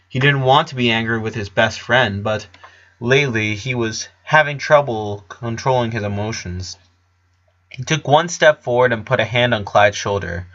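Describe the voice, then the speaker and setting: A man reading a story aloud as narrator, in an expressive storytelling style. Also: mid-range voice, muffled recording. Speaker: narrator of a fan fiction story.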